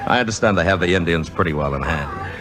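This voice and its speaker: An actor playing a brash, teasing young theater director in a 1950s Hollywood radio drama, speaking in an emphatic, wry, theatrical way. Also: male voice, sits low, fast talker, a bit bright. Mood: wry, tender. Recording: vintage radio recording, music behind.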